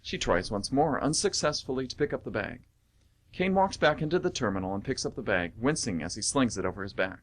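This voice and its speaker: A man's voice: mid-range voice, lively intonation, fairly quick, close to an everyday voice. A man narrating an amateur radio play, reading out scripted stage directions.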